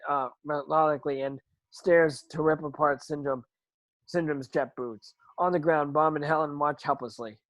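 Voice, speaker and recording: A man narrates a screenplay at an amateur table read. His voice is on the high side and recorded dry. He reads haltingly and often stumbles over words. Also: no room sound, rough recording. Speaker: stage directions reader.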